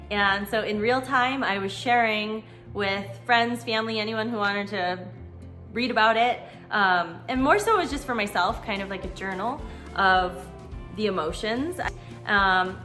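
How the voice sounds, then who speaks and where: Bright-voiced American woman telling her story. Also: on the high side. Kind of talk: personal storytelling vlog, conversational.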